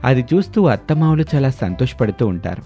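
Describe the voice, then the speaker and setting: A man's voice: medium pitch, sing-song, a little fast, warm tone, highs cut off, faint music behind. A man narrating a scripted moral story as a storyteller.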